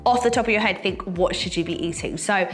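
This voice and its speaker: Young female vlogger, nasal from a cold, relaxed chatter full of fillers, mid-range voice, seated indoors. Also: medium pitch, fast talker, soft background music. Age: young adult. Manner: chatty, many fillers, lively gestures. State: sick with a cold, slightly nasal. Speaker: fitness coach and vlogger. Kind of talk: casual sit-down chat to camera. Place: bright room indoors, seated.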